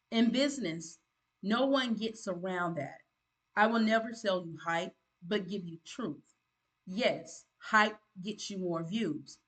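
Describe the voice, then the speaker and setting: A woman's voice: fairly low pitch, rough recording. A woman, a content creator giving earnest motivational advice in a preachy, emphatic way, often repeating herself and restarting. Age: 50s.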